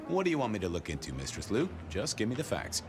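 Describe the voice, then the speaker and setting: An actor playing a wry, world-weary vampire detective in a dry noir video game style. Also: male voice, fairly low pitch, wildly swooping pitch, fast, over music. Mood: courteous, businesslike.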